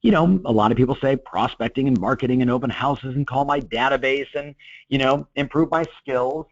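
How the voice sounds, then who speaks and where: A middle-aged man talks in an energetic, motivational way, padding his sentences with "you know". His voice sits at an average pitch. The audio is dry and a bit dull, like a webinar recording. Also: a little fast, no room sound. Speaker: real estate coach presenting a webinar. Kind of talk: webinar talk.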